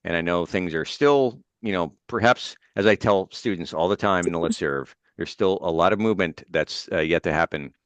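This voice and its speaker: An American man hosting informally as a student adviser, talking in a halting, appreciative way. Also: deep voice, dry recording, dull audio. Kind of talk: informal spoken introduction and questions.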